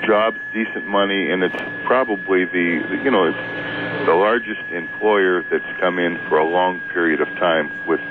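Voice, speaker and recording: A man calling in to talk radio, chatting about politics in a halting, repetitive and emphatic way. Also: sits low. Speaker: radio call-in guest. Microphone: telephone. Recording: tinny phone line, noisy hum, radio aircheck.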